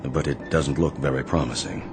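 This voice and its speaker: An actor playing a dryly polite, unflappable, deferential chauffeur in a radio drama, with formal courtesy. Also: male voice, rumbling low, steady tone, normal speed, over music.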